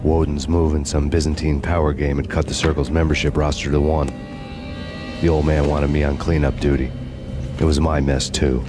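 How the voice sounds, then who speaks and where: A weary noir detective narrating his own downfall. The voice sits extremely low and barely moves, dry and sardonic in every line, with a steady music score underneath. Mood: grim. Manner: dry, sardonic, measured delivery. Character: bitter, weary male cop on a revenge path. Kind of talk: hard-boiled noir narration.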